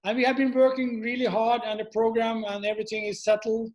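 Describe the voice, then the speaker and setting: A man's voice: very high voice, level tone, quick pace. A man from an event committee introducing the speakers on a video call, upbeat and eager.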